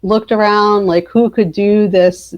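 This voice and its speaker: A woman talks informally as a guest author in an interview. Her pitch sits in the middle range, and she has a wry, self-amused way of telling things. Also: steady tone, slow pace, muffled recording. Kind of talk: casual interview chat.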